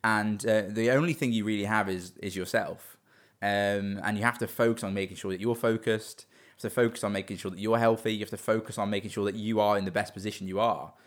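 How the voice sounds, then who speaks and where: A young man in his twenties speaks quickly and earnestly in a relaxed interview. His voice sits a little low. He often restarts and doubles up words before pressing on. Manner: fast, earnest, repeats words when restarting. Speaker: business owner guest. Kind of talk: casual interview talk.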